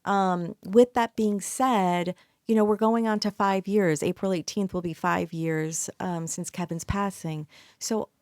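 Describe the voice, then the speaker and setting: Calm-voiced middle-aged woman guest. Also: mid-range voice, a little slow, no room sound, clean studio sound. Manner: calm, soothing delivery. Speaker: podcast guest, life coach. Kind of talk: casual podcast chat.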